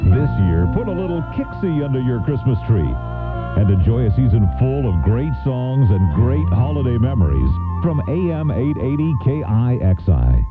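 A man's voice: medium pitch, big pitch swings, moderate pace. A man working as a radio announcer, reading polished scripted narration and promos. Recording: narrow-band radio broadcast over loud music. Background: prominent music.

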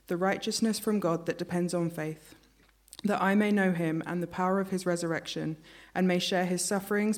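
Woman reading scripture at church. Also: fairly low pitch. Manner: steady, earnest reading. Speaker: scripture reader. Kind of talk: reading a Bible passage aloud. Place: church service. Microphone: church microphone.

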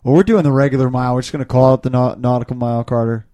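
A man's voice: medium pitch, steady tone, very fast, dark tone, no echo. A man co-hosting a podcast in casual, chatty banter. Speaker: podcast co-host.

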